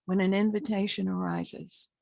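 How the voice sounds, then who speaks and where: A woman leading a guided meditation as a spiritual teacher, speaking in short, measured phrases with long pauses and a husky, gravelly voice. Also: medium pitch, level tone, darkish tone, dry recording.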